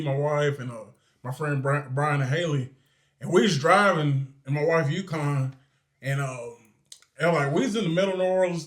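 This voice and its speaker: A co-host who talks in a loose, easygoing way, leaning on fillers. His voice sits slightly high for a man. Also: fairly bright tone. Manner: loose, chatty, many fillers. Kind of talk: casual chat.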